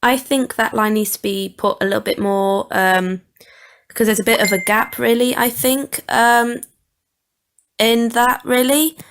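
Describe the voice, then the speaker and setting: A woman's voice: fairly high pitch, fairly even intonation, noisy background. A young woman giving informal, hesitant commentary as a student producer reviewing an audio edit. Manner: hesitant, halting, thinking aloud.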